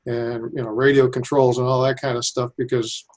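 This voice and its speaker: Male interviewee, engineer type. Flat intonation, mid-pitched, explaining things informally and methodically. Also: brisk. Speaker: civil engineer, airport designer being interviewed.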